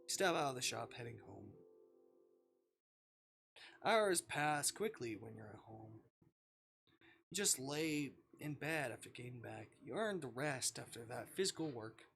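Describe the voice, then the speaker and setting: Bright-voiced, animated horror game streamer. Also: mid-range voice, unhurried, vocal fry, long pauses. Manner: expressive, sweary, dramatic reactions. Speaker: streamer playing and reading a visual novel aloud. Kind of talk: livestream gameplay commentary mixed with read-aloud narration. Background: music.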